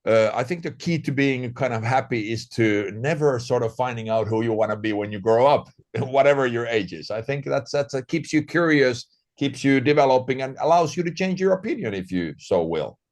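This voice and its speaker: A man's voice: mid-range voice, expressive, brisk, few pauses. Eager, laughing male interviewer from Finland. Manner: eager, laughs often, stumbles on repeated words. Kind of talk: casual interview questions.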